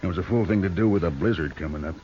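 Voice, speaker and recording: An actor playing a folksy, menacing outlaw gang leader in an old radio western, with a drawling, mockingly genial way of talking. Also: male voice, low-pitched, racing, warm tone, natural voice. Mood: suspicious. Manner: drawling rustic speech, mockingly genial, bullying. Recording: muffled, noisy old radio recording.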